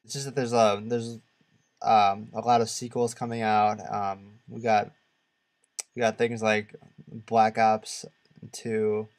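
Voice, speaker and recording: A man co-hosting a casual gaming podcast, talking in a halting, rambling and opinionated way. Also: lowish voice, flat delivery, darkish tone. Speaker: podcast co-host.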